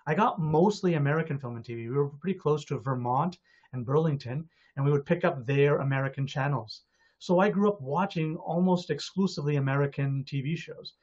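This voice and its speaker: A man in his forties from Montreal, an actor telling his story in a casual, animated and chatty way. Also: on the high side, a little fast, husky. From Montreal, Canada. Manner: animated, chatty, acts out his younger self. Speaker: actor and director, guest. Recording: dull lo-fi audio.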